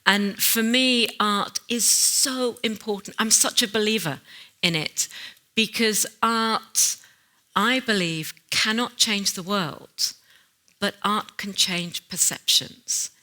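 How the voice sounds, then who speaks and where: A woman's voice: medium pitch, slow, pushed and bright. A woman speaking in an onstage conference conversation, earnest and emphatic, stressing key phrases as she reflects.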